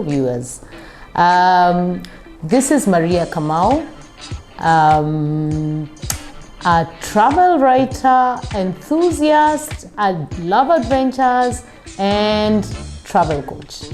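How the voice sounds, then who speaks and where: A middle-aged Kenyan woman, a travel coach, talking casually in an interview with thoughtful pauses. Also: on the low side, big pitch swings, darkish tone, soft background music. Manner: thoughtful, with many pauses and fillers.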